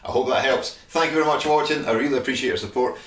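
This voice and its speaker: A man's voice: medium pitch, very fast, a bit bright, roomy sound, far from the mic. A Scottish man casually demonstrating beard care products, checking in often with "all right?". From Scotland. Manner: chatty, frequent "all right?" tags. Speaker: beard care brand host.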